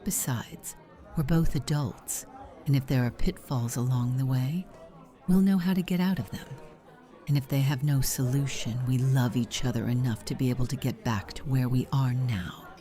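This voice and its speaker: A woman voicing a flirtatious, jealous, tender aunt in a visual novel game, speaking softly and intimately with a breathy delivery. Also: extremely low pitch, normal speed, faint music behind. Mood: earnest, longing.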